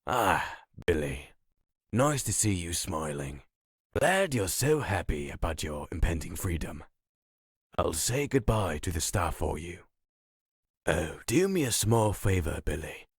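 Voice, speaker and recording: Comic-dub doctor, male actor: low husky voice, slow measured pace, polite but patronising. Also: very animated, no room sound, studio quality, heavily acted. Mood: pleasant, condescending. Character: formal, smooth-talking, satisfied male institute doctor.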